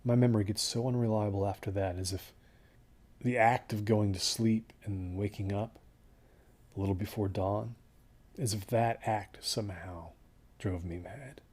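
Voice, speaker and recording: Audio drama survivor played by a man: low, soft dark timbre, haunted and halting, sinking toward a hush. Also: steady tone, breathy edge. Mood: weary, reflective. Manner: halting, hushed, trailing into near-whispers. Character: shaken male desert-hike survivor, haunted and weary. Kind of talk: audio drama monologue, first-person recollection.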